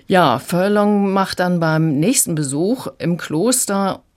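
Very low-voiced woman reviewing audiobooks. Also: slow, a little mellow. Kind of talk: radio review conversation.

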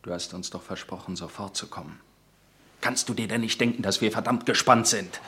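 Brief: low male voice, a steady, careful underground leader. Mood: stern. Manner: firm and measured. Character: calm, cautious leader of a resistance group. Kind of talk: radio play acting.

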